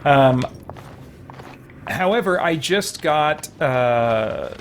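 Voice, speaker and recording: A man's voice: a little high, lively intonation. Man streaming, chatting over background noise. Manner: halting, rambling, thinking aloud. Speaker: game streamer. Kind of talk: casual live stream chat while playing, reading viewer comments.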